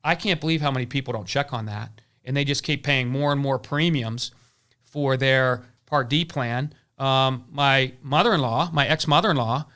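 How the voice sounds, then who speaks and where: A man talks through insurance advice in a loose, casual way. His voice is bright and forceful, and he often stumbles, repeating words and starting sentences over as he goes. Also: medium pitch, moderate pace. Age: 40s. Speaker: insurance agency owner.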